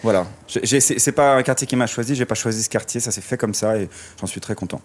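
Hesitant, reflective man, slightly low voice. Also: fast talker. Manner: hesitant, reflective, many restarts. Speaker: musician guest. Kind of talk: radio interview. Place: outdoors in a city street. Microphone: wearing a surgical mask.

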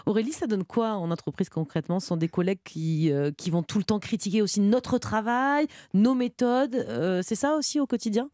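A woman hosting a radio talk show, asking her guests questions in a lively way. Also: medium pitch, brisk, few pauses, no room sound, studio quality. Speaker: radio host.

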